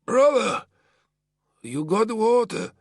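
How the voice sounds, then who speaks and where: A man voicing a dying wounded male soldier who talks slowly with weak, strained gallows humor. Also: high voice, very animated, dry recording, clean studio sound, voice pushed up, heavily acted. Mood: weak pleading. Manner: slow, grinning gallows humor.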